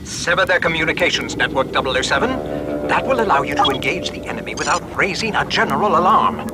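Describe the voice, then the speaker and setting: An actor plays a precise male mission adviser in a spy video game. He is higher-pitched, very quick and instructive. Heavy noise sits behind the voice. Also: animated, close to an everyday voice. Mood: brisk, instructive. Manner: crisp, instructive. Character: brisk, precise British male spy-gadget expert. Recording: very noisy game sound effects behind. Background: loud vehicle and outdoor noise.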